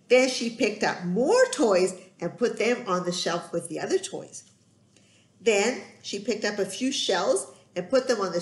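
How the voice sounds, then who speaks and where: A middle-aged woman telling a children's story in an expressive, emphatic, sing-song way, recorded in a room at home. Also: on the high side. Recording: roomy, echoey home recording.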